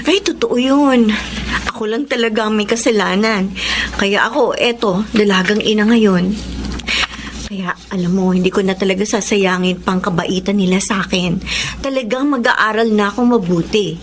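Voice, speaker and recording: A woman's voice: mid-range voice, normal speed, a little hiss or hum, close to an everyday voice. A Filipina actress playing a wronged but resolute young single mother in a radio drama, earnest and emotional. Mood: earnest, subdued.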